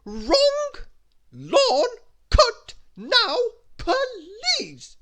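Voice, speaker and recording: A woman's voice: very high-pitched, huge pitch swings, moderate pace, voice pushed up, full character voice. A woman voicing a bossy, domineering little female storybook character who shrieks and barks orders. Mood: shouting, bossy.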